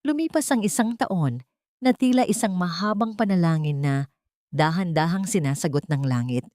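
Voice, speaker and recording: A woman's voice: lowish voice, animated, moderate pace, a little mellow, dry recording, clean studio sound. A woman reading a scripted dramatic story as an audiobook narrator.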